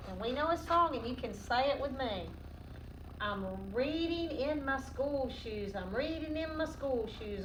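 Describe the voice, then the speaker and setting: A woman teacher doing a children's read-aloud lesson in an instructive, questioning, sing-song manner. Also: sits high. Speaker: teacher reading a picture book aloud to children. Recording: roomy, distant mic, noticeable background noise.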